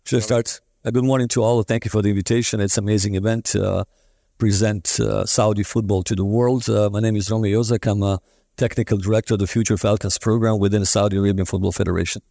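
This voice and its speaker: A man speaking as a football federation technical director on a conference panel, formal and deliberate, with fillers. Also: lowish voice, steady tone, gravelly, warm tone, dry recording, studio quality. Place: conference stage.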